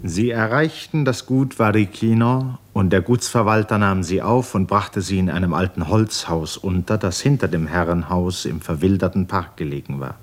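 Narrator of an old german radio play, lowish voice, composed, literary, matter of fact storytelling. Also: male voice, normal speed, hardly any pauses.

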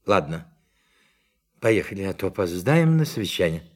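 A man's voice: deep voice, very animated, normal speed, a little mellow. An actor in a Russian radio play playing a brusque, sceptical, inquisitive male professor who questions and doubts in a peremptory way. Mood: incredulous.

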